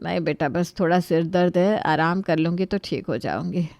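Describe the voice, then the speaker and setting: A woman voicing a middle-aged mother-in-law, motherly but quick to scold, in a family story. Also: lowish voice, darkish tone, no room sound, close to an everyday voice. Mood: weary, gentle.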